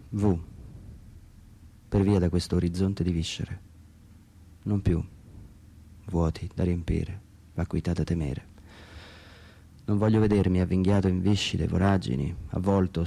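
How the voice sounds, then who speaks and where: A man's voice: low voice, vocal fry, dark tone, breathy edge. A man from Umbria, Italy, giving a dramatic literary reading of his own text for radio theatre, brooding and theatrical, sometimes dropping to near whispers.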